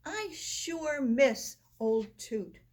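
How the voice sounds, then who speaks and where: A woman's voice: high voice, lively intonation, drawn-out words, darkish tone, pitched above the performer's own voice, full character voice. A woman voicing a caring, encouraging male pig from a children's storybook, earnest and reassuring. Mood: worried.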